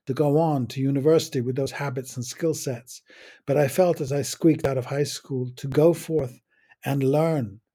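A man, reading a slow, reflective personal monologue as a podcast host. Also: a little high, dark tone, slightly rough. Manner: measured, musing, with long pauses between short phrases.

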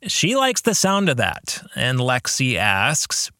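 Bright-voiced man, slow reflective podcast talk. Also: mid-range voice, huge pitch swings, dry recording, clean studio sound. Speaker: podcast host and author discussing his book. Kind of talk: reflective book commentary, addressing the listener directly.

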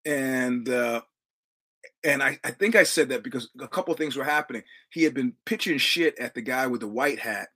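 A man's voice: medium pitch, moderate pace, bright, forceful tone, breathy edge. A man, hosting his show and telling a profane, swaggering story while acting out the dialogue. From New York, Brooklyn. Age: 60s. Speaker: podcast host. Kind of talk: casual storytelling monologue.

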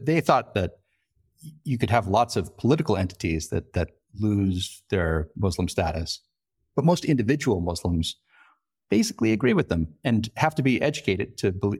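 A man's voice: low-pitched, a little mellow, slightly rough. A man giving a conversational expert explanation as an interview guest, articulate but often repeating words as he goes. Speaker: interview guest, foreign correspondent and lecturer.

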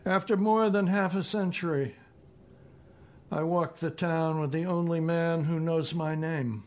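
A man in his seventies with an American accent, reading his own poetry and prose in a deliberate, measured way. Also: a little high, steady tone. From northeastern United States. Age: 70s. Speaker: poet reading own work.

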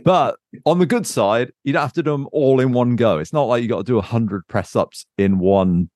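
A man's voice: medium pitch, moderate pace, darkish tone, no room sound, clean studio sound. A man co-hosting a podcast in casual, unscripted chat. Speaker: podcast co-host.

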